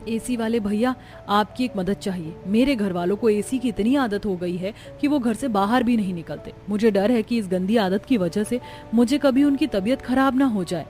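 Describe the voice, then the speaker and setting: A woman voicing a gentle, dutiful young daughter-in-law in a cartoon story, polite and earnest. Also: medium pitch, normal speed, faint music behind, close to an everyday voice. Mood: worried, pleading. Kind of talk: Hindi cartoon story voice acting.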